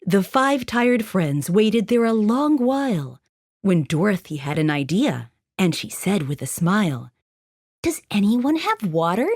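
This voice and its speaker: A woman narrating a scripted rhyming children's story in an expressive, sing-song manner. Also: mid-range voice, measured pace, dry recording, studio quality.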